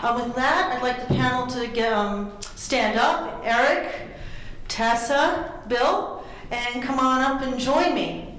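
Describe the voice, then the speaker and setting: A woman moderating a conference panel, introducing speakers briskly with some fillers. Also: fairly high pitch, a bit bright. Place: large conference room. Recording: echoey room, faint noise.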